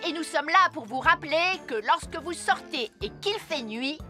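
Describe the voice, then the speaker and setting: An eager small boy who fancies himself a cartoon superhero. The voice is extremely high and has music behind it. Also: animated, moderate pace. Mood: heroic. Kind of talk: children's cartoon dialogue.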